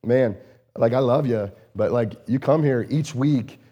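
A man, a lead pastor, preaching in an earnest, conversational way, often repeating words and using fillers, his voice a bit strained and hoarse. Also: medium pitch, measured pace, warm tone, lo-fi sound. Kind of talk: conversational sermon.

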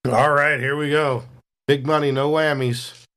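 A man's voice: on the high side, fairly even intonation, a little slow, background noise. A man playing a tabletop role-playing game, bantering casually as he rolls.

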